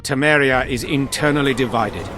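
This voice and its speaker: Casting: male actor as dignified statesman-soldier, medium pitch, formal oratory for fantasy game. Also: normal speed, over music, natural voice. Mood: formal, measured. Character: dignified, dutiful male constable addressing a royal assembly. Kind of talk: formal speech in game drama.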